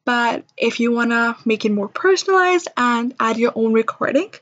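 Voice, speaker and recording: A woman narrating an informal screen-recorded software demo in a chatty, reassuring, step-by-step way. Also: a little high, animated, normal speed, no echo, lo-fi sound. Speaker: software demonstrator.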